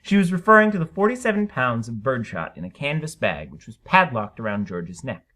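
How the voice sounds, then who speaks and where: Casting: male story reader, mid-pitched, very animated delivery, forceful bright tone, dramatic narration. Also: a little fast.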